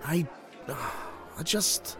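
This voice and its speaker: A man playing a sentimental, gentle young friend who speaks frankly, in an audio drama. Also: a little high, expressive, drawn-out words, heavily acted. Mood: frank, concerned.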